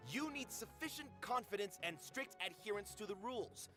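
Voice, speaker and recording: An actor playing a dutiful middle-aged theater manager in a video game, speaking formally and precisely. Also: male voice, sits high, lively intonation, moderate pace, fairly bright tone, soft background music. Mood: firm. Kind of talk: video game cutscene dialogue.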